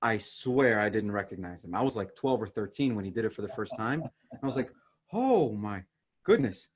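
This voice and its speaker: A man from Ukraine hosting a podcast with casual, teasing banter and animated storytelling, in a husky voice. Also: on the low side, moderate pace. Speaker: podcast host.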